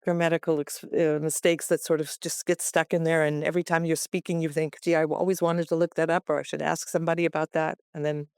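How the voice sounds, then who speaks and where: A woman's voice: low voice, little pitch movement, fast, hardly any pauses, a little mellow, clear, resonant tone, no room sound, clean studio sound. An American woman in her sixties from Los Angeles, a chatty guest who laughs often, talking over a remote call from a farmhouse attic. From West Los Angeles, USA. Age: 60s. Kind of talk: conversational interview.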